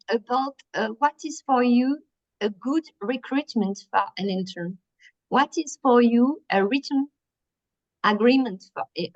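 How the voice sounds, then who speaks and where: Hesitant French woman, muffled call audio. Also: a little high. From France. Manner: hesitant, many fillers and restarts. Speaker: workshop presenter. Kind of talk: online conference presentation. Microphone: video call.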